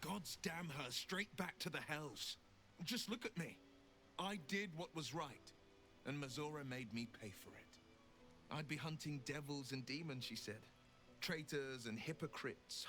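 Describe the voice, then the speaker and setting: Earnest, noble monster hunter. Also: male voice, a little high, unhurried, bright, forceful tone, soft background music. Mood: bitter, wounded. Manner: solemn, declamatory. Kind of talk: video game voice acting.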